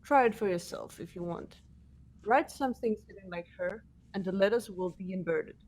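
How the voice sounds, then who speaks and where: A woman's voice: medium pitch, normal speed, a little mellow, close to an everyday voice. A woman voicing a composed, incisive female student who explains evidence methodically in an amateur game dub. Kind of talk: amateur voice acting in a visual novel playthrough.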